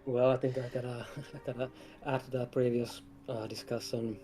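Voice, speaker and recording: A man's voice: mid-range voice, level tone, normal speed, warm tone, faint music behind. A man speaking casually and a little hesitantly as a game developer guest in an interview. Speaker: game developer, lead designer, stream guest.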